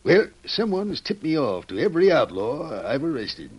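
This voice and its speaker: A man's voice: on the high side, sing-song, normal speed, husky. A man playing a grizzled, folksy Old West sheriff with an in a radio drama. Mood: folksy, candid. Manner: folksy, plain-spoken. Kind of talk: old-time radio Western acting.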